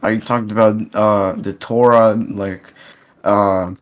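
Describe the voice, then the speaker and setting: A man's voice: lowish voice, fairly even intonation, slow. A man co-hosting a casual podcast interview, speaking in an earnest, straightforward way. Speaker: podcast co-host.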